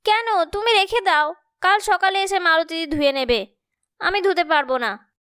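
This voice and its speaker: A sharp, bright cartoon voice, of a stubborn college-age girl. She is whiny and petulant, pitched extremely high, and recorded very dry. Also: moderate pace, no room sound. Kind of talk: Bengali cartoon story acting.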